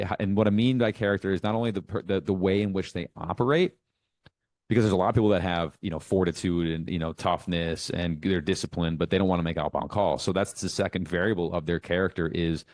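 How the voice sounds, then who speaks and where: A man's voice: low-pitched, darkish tone, dry recording, clean studio sound. A man hosting a business coaching show, talking informally and instructively in a blunt, emphatic, casually profane manner.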